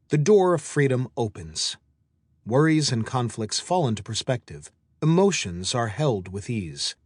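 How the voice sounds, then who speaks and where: A man reading a self-help audiobook as scripted narration, in measured phrases with deliberate pauses. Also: mid-range voice, no echo, clean studio sound.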